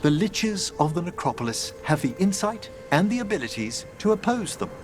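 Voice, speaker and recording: An actor playing a refined older necromancer scholar in a video game, gentle and wistful, with formal, eloquent, measured phrasing. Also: male voice, high-pitched, sing-song. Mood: wistful, thoughtful. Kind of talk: video game dialogue acting.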